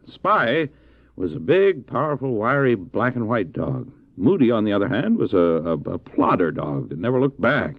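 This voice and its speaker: Kindly folksy doctor in a radio drama, bit of fry in the voice, muffled old tape. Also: male voice, medium pitch, dry recording. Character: kindly, unhurried missionary doctor and sled-dog owner in Labrador. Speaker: actor, storyteller within the drama. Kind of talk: radio drama acting, storytelling. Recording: dull, dry old cassette audio drama.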